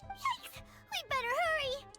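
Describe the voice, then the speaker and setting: A tiny floating game companion with a piercing, very high, childlike voice that leaps all over the place. She is excitable and fretful, exclaiming at every turn. Game music plays loudly beneath her. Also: exaggerated voice. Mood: alarmed. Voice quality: shrill, childlike, bouncy. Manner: eager, exclaiming, quick to worry. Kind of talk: game dialogue.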